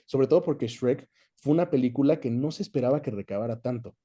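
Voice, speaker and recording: A man with a Mexican accent giving measured, conversational explanations as an interview guest, often checking in with short tag questions. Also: mid-range voice, dark tone. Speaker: interview guest, voice actor and dubbing director.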